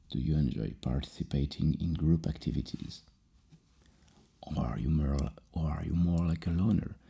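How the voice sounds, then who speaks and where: A man speaking softly and breathily as an ASMR psychologist, asking questions in a halting, musing way. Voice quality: soft, breathy, gravelly low murmur. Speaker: ASMR roleplay host playing a psychologist. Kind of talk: soft-spoken ASMR questioning.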